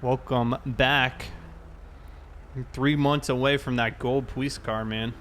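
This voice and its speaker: A man's voice: medium pitch, fairly even intonation, takes it slowly, a bit bright, a little hiss or hum. A man chatting casually on a livestream while playing a game. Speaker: livestreamer playing a police officer. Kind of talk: casual livestream chat over gameplay.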